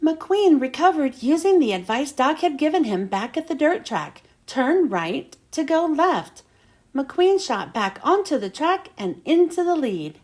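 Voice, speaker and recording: A woman reads a children's story aloud. Her voice is high and swings up and down a lot, with dramatic emphasis on the storytelling. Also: sing-song, moderate pace, hardly any pauses, a little mellow. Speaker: children's book reader.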